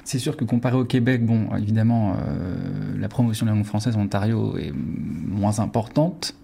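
A man, speaking as a guest in a measured way with frequent pauses. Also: on the low side, creaky, dark tone, slight background noise. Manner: measured, pausing often with fillers. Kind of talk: casual interview talk.

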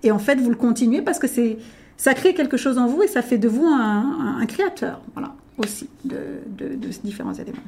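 A middle-aged woman, a native French speaker, talking as a guest about her contest in an emphatic, animated way. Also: fairly high pitch, fairly quick, gravelly, a little hiss or hum. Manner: emphatic, animated, addresses listener directly. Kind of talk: casual interview talk.

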